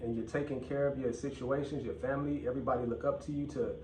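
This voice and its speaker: A man's voice: mid-range voice, steady tone, fast talker, a little mellow, a little hiss or hum. A man hosting his own vlog, talking bluntly and profanely and repeating phrases for emphasis. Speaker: channel host. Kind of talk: casual vlog rant. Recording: echoey room, mic at a distance.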